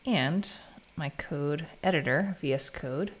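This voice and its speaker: American woman streaming some coding, lowish voice, chatty and informal, kinda thinking out loud. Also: takes it slowly. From United States. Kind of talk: casual live stream chat while coding.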